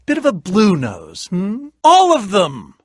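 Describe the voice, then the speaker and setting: Game role: eccentric reclusive adventurer, wistful and fussy, theatrical wordy delivery with lively pitch swings, very dry close recording. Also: male voice, slow pace, no echo, heavily acted. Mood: wry, wary. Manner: theatrical, wordy, dryly self-pitying. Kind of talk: animated video game character acting.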